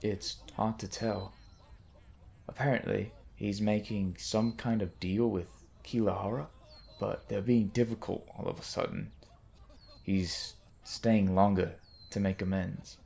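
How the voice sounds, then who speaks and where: A man's voice: lowish voice, steady tone, a little slow, gravelly, mellow. An actor playing a worried soldier who briefs his captain in an audio drama. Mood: matter-of-fact.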